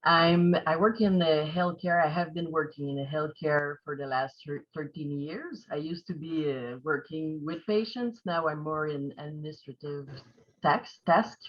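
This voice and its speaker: A woman in her thirties with a French Canadian accent, chatting casually as a guest with a relaxed manner. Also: deep voice, a little slow, dull audio. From Quebec, Canada. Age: 30s. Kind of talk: casual chat.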